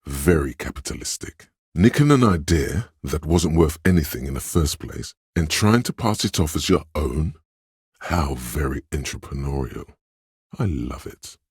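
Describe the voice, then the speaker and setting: A man voicing a suave, coaxing inner voice of persuasion in a video game, with a husky, insinuating, knowing delivery. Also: extremely low pitch, very animated, unhurried, a little mellow, dry recording, studio quality, heavily acted. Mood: sly, wry. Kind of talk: video game voice acting, advisory narration.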